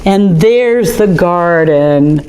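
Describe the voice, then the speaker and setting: A middle-aged woman reading and chatting through a children's storytime at an art museum, gently enthusiastic as she shows pictures. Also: fairly low pitch, lively intonation, very slow.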